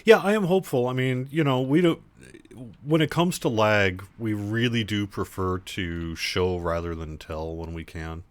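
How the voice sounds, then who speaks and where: Stream host, male, very low voice, throat going, quiet and halting with lots of fillers. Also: dry recording. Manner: halting, many fillers and restarts, goes quiet. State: hoarse, sore throat and cough. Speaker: livestream host for an online game. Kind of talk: casual live-stream chat.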